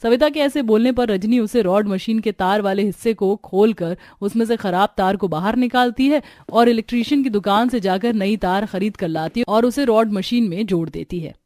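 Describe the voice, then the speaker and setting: A woman's voice: mid-range voice, moderate pace, few pauses, a little mellow. A woman narrating a scripted cartoon story.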